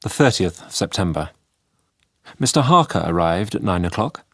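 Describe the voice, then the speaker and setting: A man's voice: sits low, big pitch swings, normal speed, no echo, studio quality, full character voice. An actor playing a grave Victorian asylum doctor, narrating his diary in an audio drama. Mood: measured, grave.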